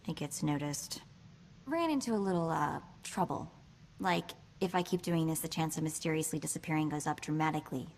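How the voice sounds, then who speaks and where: An actress playing a sassy, quick-witted young woman hacker in a video game, with a chatty, wry delivery. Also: on the low side, a little hiss or hum, close to an everyday voice. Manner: wry, chatty, hesitant asides.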